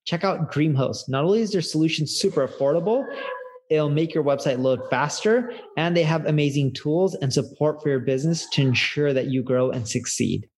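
A man's voice: on the high side, normal speed, a little mellow. A man delivering a scripted sponsor read for a podcast. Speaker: sponsor ad reader.

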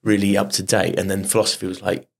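A middle-aged man, a stage performer, telling animated stories in a casual interview, often restarting his sentences. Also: sits low, gravelly, breathy edge. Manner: animated anecdotes with quick restarts. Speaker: guest performer.

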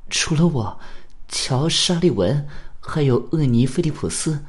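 A man's voice: on the high side, lively intonation, normal speed, pitched above the performer's own voice, natural voice. A man voicing a proud, anxious young woman. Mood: reserved. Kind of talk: radio drama acting.

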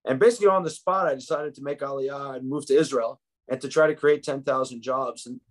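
A man's voice: medium pitch, brisk. Reflective New York man telling his story. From United States. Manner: reflective, with frequent restarts and fillers. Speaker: podcast guest. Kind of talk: conversational interview storytelling.

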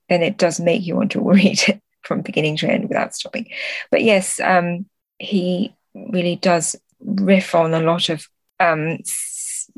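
A woman with a slightly low voice speaks as a translator guesting on a podcast. She explains literary ideas thoughtfully, hesitating as she searches for the right word. The sound is dry, with no room echo.

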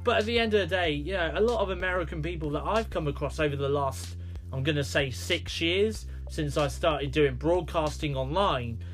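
A man's voice: a little high, normal speed, soft background music. A British man hosting a solo podcast, rambling with many fillers and restarts. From United Kingdom. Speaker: podcast host.

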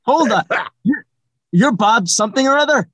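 Animated male podcast host, dry close sound. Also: very high-pitched, normal speed, breathy edge, no room sound. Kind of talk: comic interview in an audio play.

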